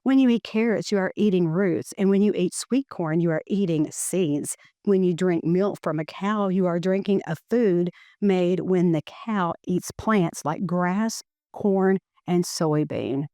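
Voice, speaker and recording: A woman reads a children's educational picture book aloud in measured, deliberate phrasing. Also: on the low side, mellow, no room sound, studio quality.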